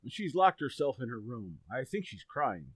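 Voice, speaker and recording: A man playing a stern but forgiving suburban father in old-time radio comedy, with a husky voice. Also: mid-range voice, very animated, moderate pace, no echo. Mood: exasperated, disappointed.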